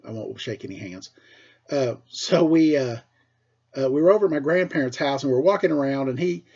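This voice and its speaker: A middle-aged American man, a teacher with a husky voice, tells casual personal stories for children in an animated way, acting things out as he goes. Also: medium pitch, a little fast, dull audio. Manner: animated, acts out dialogue and sound effects. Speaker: school teacher telling stories to pupils.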